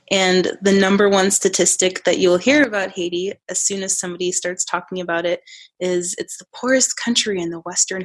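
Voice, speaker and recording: Thoughtful American woman answering interview questions. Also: mid-range voice. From United States. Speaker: guest.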